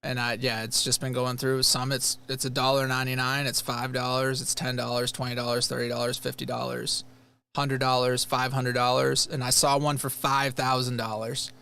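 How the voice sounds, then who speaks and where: A man's voice: mid-range voice, moderate pace, creaky, smooth. A man from Rochester, New York, hosting a livestream and chatting earnestly as he reacts to a replay. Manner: earnest, rambling, animated. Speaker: livestream host. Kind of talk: casual chat reacting to a replay.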